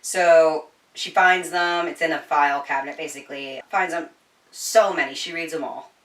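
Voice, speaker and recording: A woman chatting informally through a book recap, slangy and sweary, telling it like an animated storyteller. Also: low voice, normal speed, far from the mic. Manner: animated storyteller, voicing quoted dialogue. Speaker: book reviewer. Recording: echoey room, somewhat off the mic.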